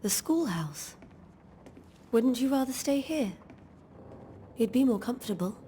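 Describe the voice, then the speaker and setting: An actress playing a grieving, devout colonial wife in a video game, speaking in formal, measured, old-fashioned phrases. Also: female voice, on the high side, animated, dark tone, a little hiss or hum. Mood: gracious. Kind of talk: dramatic video game acting.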